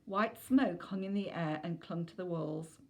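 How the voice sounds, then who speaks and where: A woman's voice: on the low side, a little slow, gravelly. A woman reading a children's novel aloud as scripted narration.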